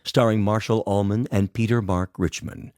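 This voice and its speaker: A man's voice: on the low side, fairly even intonation, normal speed, a little mellow, no echo, studio quality. A man reading a scripted radio drama introduction as its announcer. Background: faint music.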